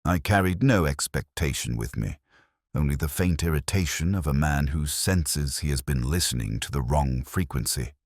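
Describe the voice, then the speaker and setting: A man playing a weary, brooding older detective who narrates his case in measured, literary, introspective mystery prose. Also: rumbling low, no room sound, clean studio sound. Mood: weary, reflective. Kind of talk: scripted first-person mystery narration.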